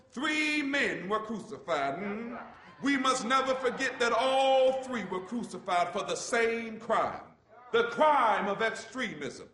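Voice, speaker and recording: A man delivering impassioned preaching-style oratory, emphatic and dramatic, with drawn-out phrases and interjections, in a roomy recording. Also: very high-pitched, sharp tone. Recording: roomy, echoing, noisy recording.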